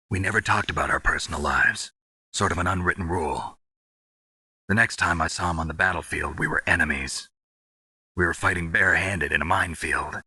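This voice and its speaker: An actor playing a gruff, weary war veteran in a video game, stoic and blunt, with a raspy, clipped, deadpan delivery. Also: male voice, deep voice, dry recording, full character voice. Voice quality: very raspy, gravelly, husky. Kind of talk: dramatic video game voice acting.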